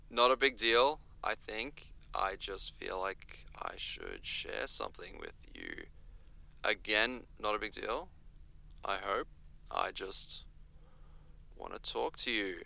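A man's voice: fairly low pitch, sing-song, unhurried, vocal fry, pushed and bright, exaggerated voice. An actor playing an awkward, earnest young man speaking hesitantly in an audio drama. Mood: nervous, hesitant.

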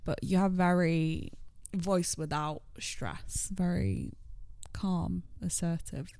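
A woman's voice: fairly low pitch, darkish tone, clean tone, no echo, clean studio sound. A woman hosting a podcast, asking questions in a chatty, curious, casual way. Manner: chatty, curious, quick to react with laughs and "ooh". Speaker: podcast host. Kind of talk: casual interview chat.

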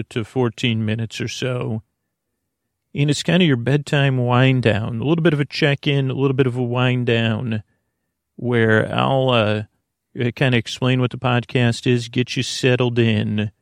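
A man speaks in a creaky, gravelly voice, recorded dry and close with no room sound. He hosts a bedtime show, wandering through his sentences in a lulling way, with frequent hesitations, stammers and restarts. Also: medium pitch, no echo. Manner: halting, hesitant, stammering with repeated false starts; lulling, soothing. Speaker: podcast host. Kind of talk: rambling, meandering bedtime monologue.

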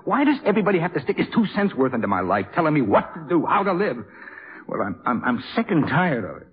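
A muffled old radio recording of a troubled young trumpeter. He is defensive and emphatic, stumbling over words, and his voice swings widely in pitch. It is classic 1950s radio drama. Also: big pitch swings, quick pace. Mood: defensive, agitated.

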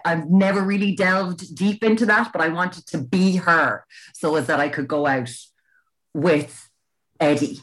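A woman co-hosting a casual comedic chat, telling stories in an animated, teasing way. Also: low-pitched, low-quality recording. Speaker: podcast co-host.

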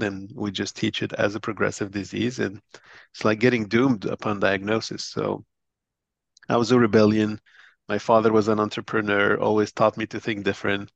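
A gravelly, somewhat low-voiced middle-aged man from Lebanon, speaking as an interview guest. He explains with animated conviction, restarting phrases often. The sound is dry and a little muffled. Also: no echo. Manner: earnest, animated explainer with frequent restarts and laughs. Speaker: interview guest, physician and company chief. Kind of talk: conversational interview.

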